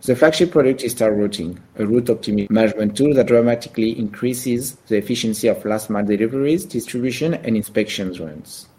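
A man co-hosting a podcast who introduces the guest and puts questions to him in a lively way. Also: lowish voice, quick pace, hardly any pauses. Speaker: podcast co-host.

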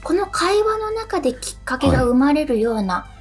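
A woman with a fairly high voice co-hosts a casual radio talk over faint music. She speaks politely and conversationally, often voicing little examples of what someone might say. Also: sing-song, normal speed. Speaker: radio show co-host, stage actor.

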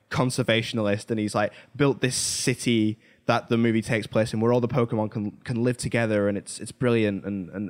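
A man with an average-pitched voice talks casually as a podcast co-host. His explanations ramble and halt, drifting into side points as he works through them. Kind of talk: casual chat about films.

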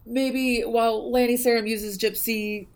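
Gravelly-voiced woman in casual chat. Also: fairly high pitch, little pitch movement, a little slow. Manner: thoughtful, hedging, many ums and you knows. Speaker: podcast co-host discussing a book.

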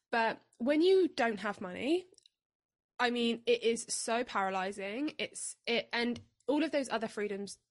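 A woman co-hosting a podcast, talking in an earnest, reflective way and often affirming her partner. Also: medium pitch. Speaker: podcast co-host. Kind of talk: casual chat.

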